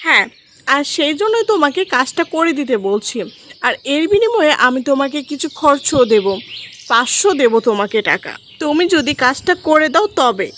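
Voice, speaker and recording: A woman's voice: sits high, lively intonation, moderate pace, fairly bright tone, a little hiss or hum. A woman playing a cruel, scheming stepmother in a cartoon, commanding and wheedling. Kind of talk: cartoon story voice acting.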